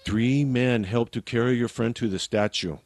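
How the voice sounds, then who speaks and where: A man's voice: mid-range voice, normal speed, a little mellow, dry recording, lightly acted. Man acting a nervous porter, close and dry. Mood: guarded. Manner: nervous, evasive, protesting. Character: anxious Viennese porter, reluctant male witness. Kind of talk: radio drama acting.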